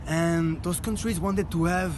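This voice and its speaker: High-voiced man guiding a street tour. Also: fairly even intonation, a little slow. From Belgium. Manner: earnest, explanatory, addresses a group. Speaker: tour guide. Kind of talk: guided walking tour talk. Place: outdoors on a city street. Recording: loud outdoor street noise. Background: loud city traffic and street ambience.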